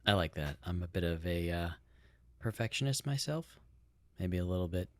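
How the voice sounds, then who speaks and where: A man with a low, deep voice speaks as a podcast co-host. He talks in a casual, conversational way, and the sound is very dry. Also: lively intonation, moderate pace, no echo.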